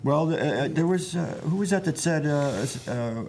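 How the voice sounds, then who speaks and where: A man with a mid-pitched voice chats easily and drops in deadpan one-liners. There is a faint murmur of room noise in the background. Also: moderate pace. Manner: dry joking asides. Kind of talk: casual chat.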